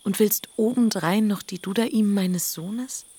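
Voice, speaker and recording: A woman's voice: mid-range voice, measured pace, dark tone, slightly rough. An actress playing Lea, a proud elder sister and mother of sons, possessive and secretive, in a radio drama. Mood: guarded.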